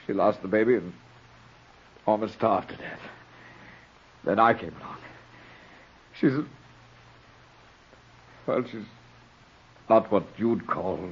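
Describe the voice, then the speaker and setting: An actor playing a weak, guilt-ridden younger brother in a 1940s radio drama, stammering and pleading. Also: male voice, on the low side, slightly rough. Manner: faltering, stammering, agitated. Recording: dull vintage 1940s radio recording.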